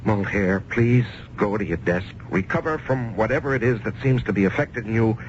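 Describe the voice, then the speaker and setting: An actor playing a stern, formal company boss who gives orders curtly, in a 1970s radio drama. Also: male voice, on the low side, animated, moderate pace, close to an everyday voice. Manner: curt, commanding, formal. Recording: lo-fi vintage radio recording, faint hiss.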